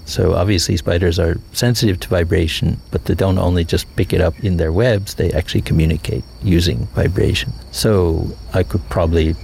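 Deep gravelly American man, unhurried. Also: steady tone, darkish tone, a little hiss or hum. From United States. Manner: thoughtful, rambling with fillers and restarts. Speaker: multimedia sound artist. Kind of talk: interview, casual explanation.

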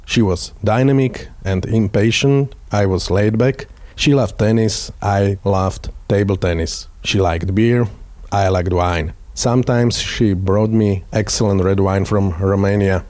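A man speaking English with a Slovak accent, a native Slovak speaker and radio journalist, giving a prepared tribute in plain, measured short sentences. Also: on the low side, darkish tone, no echo.

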